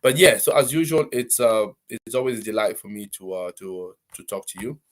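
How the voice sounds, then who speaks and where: A man hosting a live stream, talking casually and warmly to viewers, rambling with many fillers and restarts. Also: lowish voice, fairly even intonation, fairly quick, slight background noise. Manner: friendly, encouraging, addresses viewers directly. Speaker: host of a live business stream.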